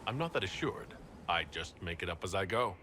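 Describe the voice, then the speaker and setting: Deep-voiced, wry heroic leader. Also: male voice, animated, a little fast, background noise. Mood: wry, modest. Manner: dry, teasing. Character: wry, self-assured male hero leader. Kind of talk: video game cutscene acting.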